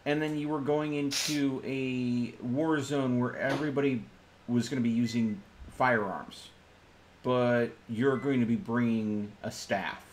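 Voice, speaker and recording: Casting: male tabletop player, average pitch, conversational in-character delivery with light banter, slight noise behind. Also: fairly even intonation, measured pace. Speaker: player in a tabletop roleplaying stream.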